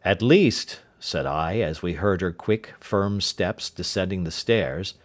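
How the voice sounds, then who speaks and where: A man's voice: sits low, a little slow. Man reading a classic novel aloud. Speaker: narrator. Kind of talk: audiobook reading.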